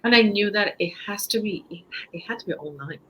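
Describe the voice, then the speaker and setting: A woman in her fifties from Japan, speaking English with a Japanese accent as a guest in a casual interview, halting, with many fillers and restarts. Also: medium pitch, a bit bright, slight background noise. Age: 50s.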